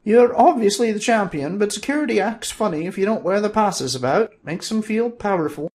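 A man's voice: high-pitched, expressive, brisk, darkish tone, voice pushed up. A man voicing a bluff, hearty old wizard with old-fashioned rustic speech.

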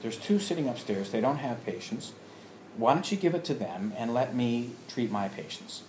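A man telling a personal story in a halting way, acting out remembered exchanges as he goes. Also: medium pitch, expressive, a little mellow. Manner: halting, acts out remembered dialogue. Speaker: storyteller, alternative health practitioner. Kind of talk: personal anecdote told to listeners. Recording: roomy echo with background noise.

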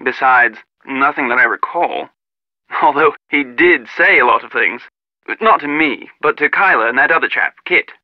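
A man's voice: mid-range voice, normal speed, no echo, muffled recording, close to an everyday voice. An actor playing a prim, irritable Englishman in a video game, speaking in precise, clipped, pompous phrases with a British accent. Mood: haughty, aggrieved. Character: prim, irritable young Englishman, disdainful of pranks. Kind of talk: video game character dialogue.

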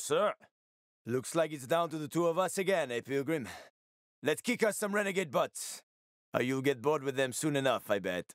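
An actor playing a wry, roguish middle-aged survivor in a video game, with a sardonic, slangy, casual way of talking. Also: male voice, a little high, dry recording, studio quality, natural voice. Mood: playful banter. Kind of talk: video game character acting.

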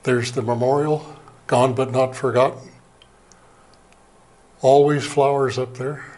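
An older man, an Air Force veteran, giving a plain, halting slide presentation from a lectern in a small museum meeting room, heard from a camera across the room. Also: medium pitch, fairly even intonation, a little mellow. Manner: plain, unhurried, halting with fillers. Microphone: far camera, speaking at a lectern.

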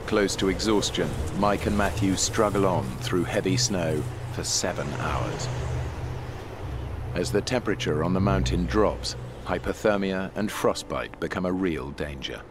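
A male narrator reading a scripted documentary in a measured, dramatic way. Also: low voice, background noise. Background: loud dramatic score.

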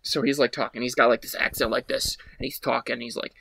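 A man's voice: mid-range voice, flat delivery, fast talker, vocal fry, fairly bright tone. A man in his twenties, a podcast co-host on a video call, telling a story casually with "like" scattered through it. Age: 20s. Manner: peppers talk with "like". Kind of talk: casual rambling storytelling with friends. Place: small room at home, indoors.

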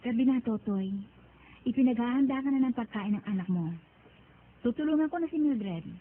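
A woman's voice: fairly high pitch, expressive, fairly quick, close to an everyday voice. An actress playing a devout, long-suffering middle-aged Filipino mother in a radio drama, chiding and pleading. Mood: patient, resigned. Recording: tinny narrow band, noisy radio recording.

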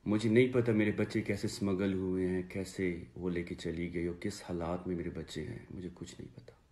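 Low-voiced Pakistani man, earnest appeal. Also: level tone, mellow. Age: 30s. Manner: earnest, halting, repeats phrases for emphasis. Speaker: father making a personal video appeal. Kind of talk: recorded personal statement to camera. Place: small indoor room, seated before a plain wall.

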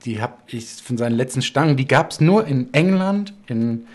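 A man in his forties hosting a casual interview over a video call from a bright home room, chatty and rambling, laughing often. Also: medium pitch, expressive, normal speed. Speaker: podcast host. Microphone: video call, webcam close-up.

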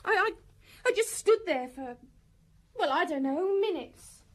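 A gangster's young girlfriend in a radio drama, grieving yet defiant. Her high-pitched voice is blunt, colloquial and quick to take offence. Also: animated. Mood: shaken, defensive.

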